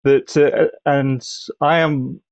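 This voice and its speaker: A man on a podcast panel chatting casually in a halting, amused way. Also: mid-range voice, level tone, dry recording, highs cut off. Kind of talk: casual discussion.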